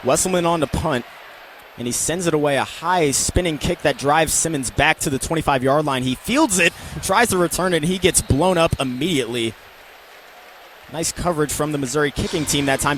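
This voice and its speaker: A male American radio announcer with a fairly high voice calls football live. His phrasing is clipped and descriptive, setting out each play as it unfolds. Loud outdoor stadium noise runs behind him.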